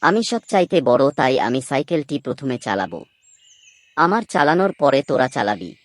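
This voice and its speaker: A man's voice: mid-range voice, sing-song, a little fast, no room sound, natural voice. A man voicing the eldest son of a farmer who talks in a bossy, self-assured way.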